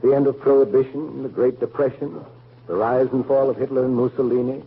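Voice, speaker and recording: A man's voice: mid-range voice, steady tone, brisk, slightly rough. An actor playing an earnest family man in a 1940s radio drama, making formal, emphatic speeches. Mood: declamatory. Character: earnest, oratorical family man championing the American home. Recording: narrow, tinny old radio recording.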